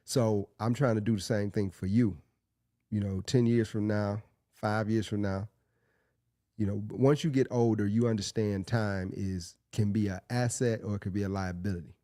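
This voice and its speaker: A man's voice: on the low side, fairly even intonation, normal speed, dark tone, dry recording, clean studio sound. A man hosting his own podcast in a loose, casual way, under the weather and sniffly, often restarting his sentences. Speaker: podcast host. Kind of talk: casual solo podcast talk.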